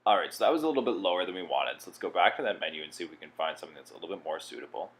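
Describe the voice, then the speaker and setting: Deep-voiced man presenting a tutorial. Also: animated, racing. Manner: explanatory, plain-spoken. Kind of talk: instructional software walkthrough.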